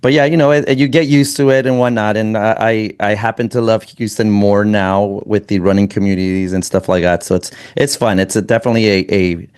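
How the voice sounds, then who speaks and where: Male podcast host, lowish voice, loose rambling delivery with restarts. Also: steady tone, darkish tone, clean tone. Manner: rambling, halting with many restarts. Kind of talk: casual interview chat.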